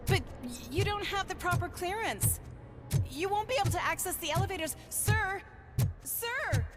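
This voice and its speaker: A woman's voice: very high-pitched, lively intonation, moderate pace, over loud music. A woman playing a polite, officious corporate receptionist in a video game. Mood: flustered. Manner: polite, officious customer-service delivery.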